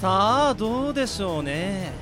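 A man's voice: sits high, big pitch swings, a little slow, over music. A man voicing a haughty, sardonic magical beast, polite but snide, in a radio drama. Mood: aloof, snide. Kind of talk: amateur radio drama acting.

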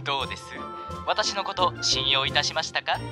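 An actor playing an unctuous, uncanny contractor of lives in a radio drama, speaking with exaggerated, theatrical politeness. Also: male voice, fairly high pitch, a little fast, lightly acted. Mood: gleefully polite. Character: unctuous, uncanny male life contractor offering sinister favors. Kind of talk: Japanese radio drama acting. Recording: music behind the voice.

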